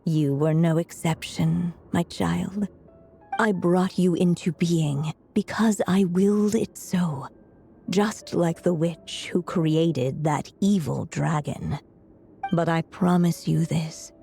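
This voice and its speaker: A tender witch mother narrates a game's storybook with great solemnity. Her voice is low and very slow, each phrase deliberate. It rings in a long reverb over music. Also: steady tone, creaky, mellow. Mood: tender, resolute.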